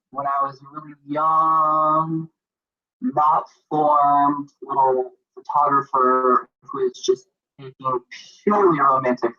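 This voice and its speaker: A young man from Maine, a photographer and author, rambling in an animated, self-deprecating way as an interview guest on a video call. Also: mid-range voice. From Maine, USA. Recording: muffled lo-fi video call audio.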